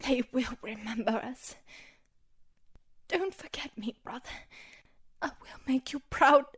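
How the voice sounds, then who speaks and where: A woman playing a gravely injured young woman in an audio drama, weak, gasping and halting, frightened yet proud. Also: sits high, heavily acted. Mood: faint, proud. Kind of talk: amateur audio drama acting.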